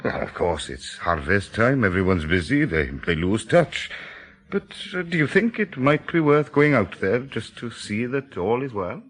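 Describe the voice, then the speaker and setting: Husky, animated, worried villager. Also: male voice, mid-range voice, unhurried. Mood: uneasy, chatty. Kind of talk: radio drama dialogue.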